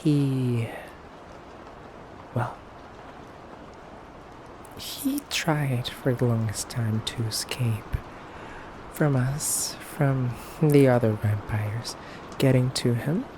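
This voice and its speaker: A man playing a haughty, teasing pure-blood vampire interrogating a human in an intimate ASMR roleplay, with condescending politeness and hesitant pauses. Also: medium pitch, noisy background, natural voice.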